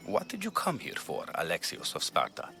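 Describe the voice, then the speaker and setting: An actor playing a dedicated, brisk ancient Greek physician in a video game, earnest and emphatic in the way he talks. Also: low voice, huge pitch swings, gravelly, bright, forceful tone, soft background music, heavily acted. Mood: wry, brisk.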